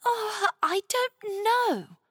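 Woman as high-pitched, innocent little girl. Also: expressive, very slow, sharp tone, no echo, studio quality, full character voice. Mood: shy, remorseful. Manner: wide-eyed, hesitant, innocent. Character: young girl, innocent, sweet, bewildered child. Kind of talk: dramatised children's fairy tale reading.

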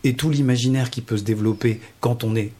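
A man, the stage director of the show, explaining his work in a radio interview, halting often as he searches for words. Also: mid-range voice, fairly even intonation, mellow.

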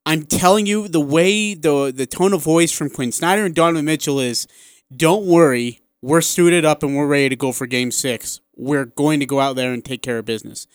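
A man's voice: on the high side, normal speed, dry recording. A man with an American accent hosting a sports talk radio show, chatting emphatically in a studio.

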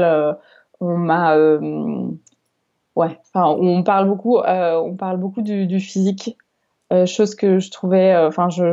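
Young woman, interview guest; halting, restart-prone delivery; warm low-energy timbre. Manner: hesitant, many fillers and restarts. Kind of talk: casual interview chat. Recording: dull lo-fi recording.

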